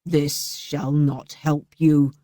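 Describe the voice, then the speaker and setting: A woman reading the part of a cruel, menacing husband, drawing out his threats slowly and heavily. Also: deep voice, little pitch movement, dark tone, no echo, studio quality, pitched below the performer's own voice.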